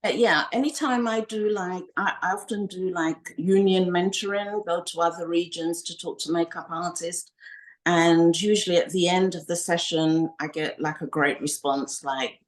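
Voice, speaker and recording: A woman from Ghana, a makeup designer guesting on a podcast, talking in a relaxed, friendly way and growing animated when she tells stories. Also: on the low side, moderate pace, rough recording. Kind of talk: casual interview chat.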